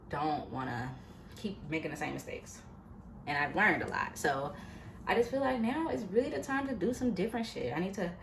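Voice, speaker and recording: A woman in her late twenties talking to her YouTube viewers in a casual, animated, chatty way, full of fillers. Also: lowish voice, slight background noise. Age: late 20s. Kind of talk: casual motivational vlog. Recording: echoey room, faint ambience.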